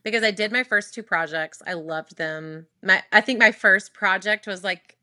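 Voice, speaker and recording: Bright-voiced woman telling a lively story. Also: mid-range voice. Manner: animated, quick and full of restarts. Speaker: worship singer and recording artist. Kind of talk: interview storytelling.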